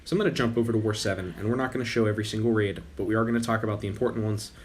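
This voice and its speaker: A man's voice: fairly low pitch, rapid-fire, a little hiss or hum. A young man hosting his gaming channel, talking casually over gameplay while losing his voice, often stopping to correct himself. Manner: relaxed, rambling with frequent self-corrections. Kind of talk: casual game commentary.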